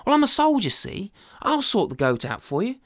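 A woman's voice: mid-range voice, big pitch swings, a little fast, dry recording, clean studio sound, pitched above the performer's own voice, close to an everyday voice. A woman voicing a brash, boastful male soldier who blusters and bosses. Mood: blustering. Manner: blustering, bossy.

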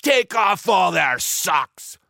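A man voicing Dirty Joe's part in a story, a loud, blustering male pirate captain who roars his lines. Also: on the high side, sing-song, measured pace, vocal fry, no room sound, clean studio sound, voice pushed up, heavily acted. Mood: blustering, commanding.

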